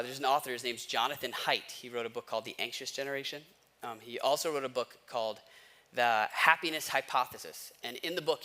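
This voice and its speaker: American male pastor, fairly high bright forceful voice, conversational yet emphatic preaching. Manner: emphatic, repeats key words and questions with pauses. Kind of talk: conversational sermon.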